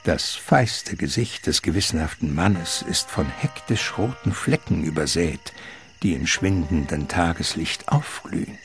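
A man narrating a radio drama from a script in a deliberate, suspenseful manner. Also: low-pitched, fairly bright tone.

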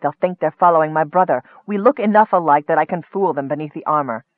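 A man's voice: sits high, fast, dry recording, phone-quality sound, pitched above the performer's own voice, close to an everyday voice. A man voicing a fierce, imperious young noblewoman with a cutting, commanding manner in a storybook. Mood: calm, bitter.

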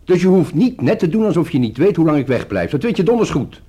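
An actor playing a nonchalant, teasing middle-aged pilot and weary husband in a radio play, wry and dismissive. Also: male voice, on the high side, sing-song, fast talker, mellow, slightly rough. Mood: irritated. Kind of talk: Dutch radio play acting.

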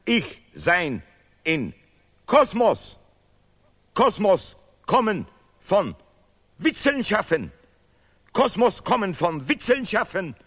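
An actor playing a stubborn, contemptuous Nobel laureate scholar in a stylized radio play, declaiming broken German in emphatic, repeated phrases. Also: male voice, sits high, big pitch swings, takes it slowly, pauses often, fairly bright tone, husky, long reverb tail, exaggerated voice. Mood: grand, boastful. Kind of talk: stylized avant-garde radio play acting.

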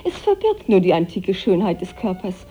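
An actress playing an alluring, witty Parisian mistress in a German radio play, coquettish and ironic. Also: female voice, lowish voice, very animated, moderate pace, dark tone, soft background music. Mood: teasing.

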